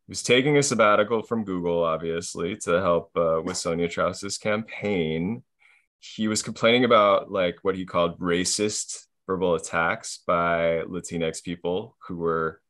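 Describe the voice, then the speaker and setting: A man's voice: low voice, a bit bright. A man in casual conversation, rambling with many fillers and a sardonic edge.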